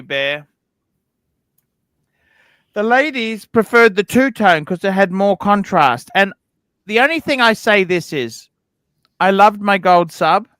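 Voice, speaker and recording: A man hosting a casual livestream chat about what he would rather own. He talks bluntly, swears freely and keeps checking in with "okay?". His voice sits high and sounds smooth and resonant, recorded close with no room sound. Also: a bit bright, dry recording. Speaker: livestream host.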